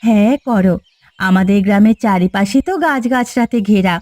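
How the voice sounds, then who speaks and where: A woman voicing a contented, good-hearted farmer's wife in a cartoon fairy tale, earnest and gentle. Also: mid-range voice, normal speed, no echo, close to an everyday voice. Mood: contented, hopeful.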